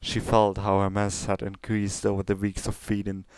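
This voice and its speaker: A man narrates a scripted story in a fairly low voice. The tone is very smooth and clear, and the sound is close and dry, suited to dramatic fiction. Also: monotone, moderate pace, a little mellow, no room sound. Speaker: story reader.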